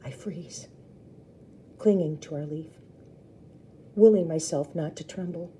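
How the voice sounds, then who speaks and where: A woman's voice: deep voice, slow, dark tone, a little hiss or hum. A woman storyteller reading a children's book aloud expressively, with brief explanatory asides to listeners.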